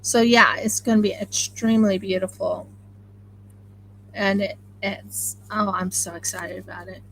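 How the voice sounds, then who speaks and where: A woman with an Oklahoma accent talks in an excitable, rambling way, hosting a casual sewing live stream from home. Her voice has a gravelly fry to it, and a faint hiss sits under the camera-mic sound. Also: mid-range voice, unhurried, rough recording. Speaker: craft channel host.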